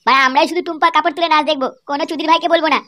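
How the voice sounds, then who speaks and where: A man's voice: extremely high pitch, fairly even intonation, rapid-fire, background noise. A man voicing a cheeky, quarrelsome, indignant cartoon character in a comedy. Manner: indignant, mouthy.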